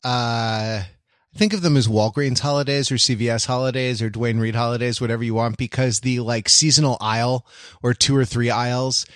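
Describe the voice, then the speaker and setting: A man co-hosting a casual podcast, inquisitive and halting, repeating phrases as he thinks aloud. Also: mid-range voice, fairly even intonation, no echo, clean studio sound. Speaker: podcast host.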